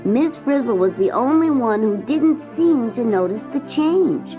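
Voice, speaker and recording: A woman narrating a scripted children's story in an expressive storytelling manner. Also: on the high side, unhurried. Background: music.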